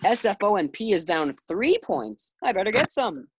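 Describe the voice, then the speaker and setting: A woman's voice: mid-range voice, expressive, normal speed, a little hiss or hum, lightly acted. A woman playing a distracted, affable husband with hesitant delivery in an old-time radio sitcom. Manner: hesitant, absent-minded. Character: distracted, affable husband reading his morning paper.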